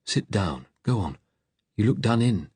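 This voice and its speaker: A kindly but tired clergyman, as a male narrator plays him in an audiobook. He is gentle and direct, with a brisk note of authority, and the sound is clean and dry. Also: on the low side, lively intonation, breathy edge, no echo, pitched above the performer's own voice. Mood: calm, concerned. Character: kindly, weary male vicar, calm and firmly commanding.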